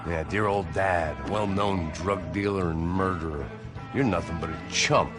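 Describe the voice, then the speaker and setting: An actor playing a middle-aged, washed-up American ex-cop, delivering world-weary, deadpan noir narration and dialogue for a crime game. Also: male voice, sits low, normal speed, close to an everyday voice. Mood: dry sarcasm. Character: jaded, sardonic washed-up ex-cop turned bodyguard. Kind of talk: cinematic noir voice-over and dialogue. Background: loud music.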